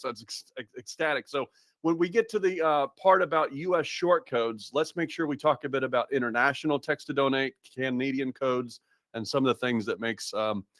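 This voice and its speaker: Casting: friendly American male webinar host, mid pitch, dry close sound, filler-heavy chat. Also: fairly bright tone, no room sound. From Massachusetts, USA. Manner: chatty, upbeat, lots of fillers and restarts. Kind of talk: live webinar presentation.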